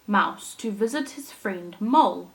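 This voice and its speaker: A woman teacher reading a storybook aloud to young children, in a deliberate, expressive way with dramatic emphasis. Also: medium pitch. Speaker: primary school teacher reading to her class.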